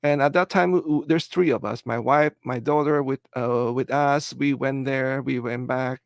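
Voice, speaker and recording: Halting middle-aged Filipino-accented man, gravelly. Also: medium pitch, steady tone, no room sound, clean studio sound. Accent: Filipino English. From Philippines. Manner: halting, full of "uh" and "you know" fillers. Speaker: livestream host. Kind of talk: casual livestream chat, reading viewer comments.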